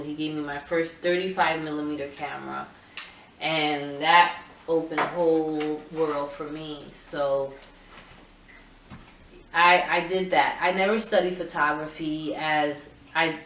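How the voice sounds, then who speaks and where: A middle-aged woman from Puerto Rico, a photographer answering interview questions in a thoughtful, halting way with many restarts. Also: low-pitched, fairly bright tone. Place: indoor room with her camera collection. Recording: roomy sound, mic at a distance.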